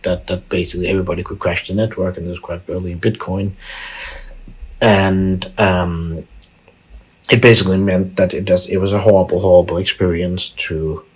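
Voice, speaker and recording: Very deep, husky-voiced man from Denmark. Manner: frequent restarts, repeated words and fillers. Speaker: podcast guest, tech executive. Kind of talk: conversational interview answers.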